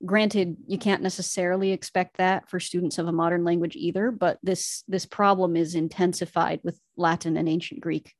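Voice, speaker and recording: A woman's voice: fairly low pitch, fairly even intonation, normal speed, hardly any pauses, dry recording, clean studio sound. A woman co-hosting an educational podcast, earnestly laying out her case point by point. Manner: earnest, explanatory, building arguments point by point. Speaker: podcast co-host, Latin teacher. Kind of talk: enthusiastic educational podcast discussion.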